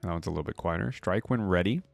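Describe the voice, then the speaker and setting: A man's voice: low voice, fast, gravelly, no echo, soft background music. A man giving casual, informal reaction commentary on a video game, talking directly to viewers.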